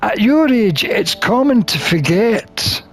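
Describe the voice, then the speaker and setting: A man reading a visual novel aloud as a gamer, expressive and theatrical, with drawn-out phrasing. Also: sits high. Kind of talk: expressive read-aloud of game text.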